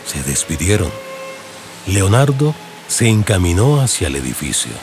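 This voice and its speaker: A man's voice: deep voice, big pitch swings, moderate pace, noisy background. A man with a Colombian accent giving dramatic, scripted narration for an audiobook in radio soap style. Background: music.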